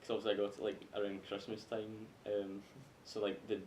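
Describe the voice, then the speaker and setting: A man's voice: monotone, brisk, darkish tone. A man with a Scottish accent in casual, rambling conversation, hesitant and a bit sweary. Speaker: podcast panellist. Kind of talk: casual chat about video games. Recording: echoey room, faint rumbling noise.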